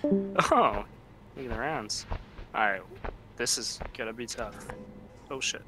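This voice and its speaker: A man's voice: mid-range voice, big pitch swings, slow pace, music in the background, low-quality recording. A man chatting casually and joking, with some swearing, as he plays a video game with a group. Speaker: gamer in a group let's play. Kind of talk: casual chat while playing a video game.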